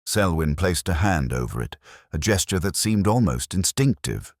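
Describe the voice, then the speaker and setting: Really low voiced guy narrating a detective story, formal and brooding, lots of movement in the pitch, dry sound no room. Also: sing-song, moderate pace, no room sound. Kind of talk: scripted literary mystery narration.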